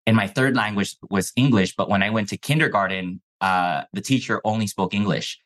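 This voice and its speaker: A young man from Mexico whose first language is Chinese, telling his life story as a podcast guest in a relaxed, slangy way. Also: lowish voice, brisk. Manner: laid-back, says "bro" and "like" often. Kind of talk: casual interview storytelling.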